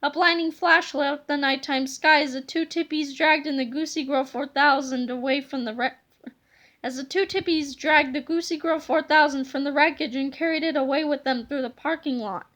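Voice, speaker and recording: A woman reads a children's book aloud in a very high, bright voice. Her narration is expressive and meant for young listeners. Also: moderate pace. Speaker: storybook reader.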